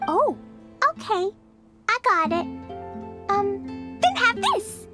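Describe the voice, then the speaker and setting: A woman voicing a small, sweet, excitable childlike girl character in a video game. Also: very high-pitched, takes it slowly, full character voice. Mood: sweet, eager. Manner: childlike, bubbly, talks of self in third person. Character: small, excitable, sweet little girl and chirpy floating fairy companion. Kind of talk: English video game voice acting. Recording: music behind the voice.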